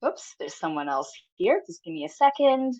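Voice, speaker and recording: A woman's voice: high-pitched, very animated, normal speed, creaky, a little mellow. Woman w/ a stuffy cold running a zoom workout, upbeat and chatty, kinda dull lo-fi webcam sound. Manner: friendly, encouraging, chatty with fillers. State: has a cold, sniffly. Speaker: exercise instructor. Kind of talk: live online fitness class instruction. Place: home room, video call.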